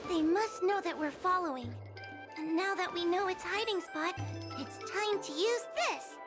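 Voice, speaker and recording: A woman voicing an earnest, chatty young woman in a game cutscene, explaining things with animated delivery over music. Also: extremely high pitch, unhurried.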